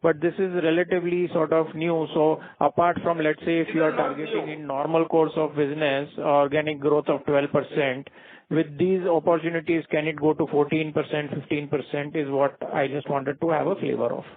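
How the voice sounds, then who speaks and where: Higher-voiced man on a tinny phone line. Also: level tone, normal speed. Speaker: financial analyst asking questions. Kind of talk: earnings call questioning.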